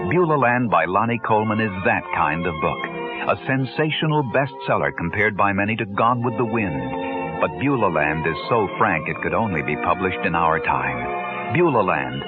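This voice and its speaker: A man announcing a dramatic, scripted radio advertisement. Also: lowish voice. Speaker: commercial announcer. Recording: narrow-band radio spot, loud music.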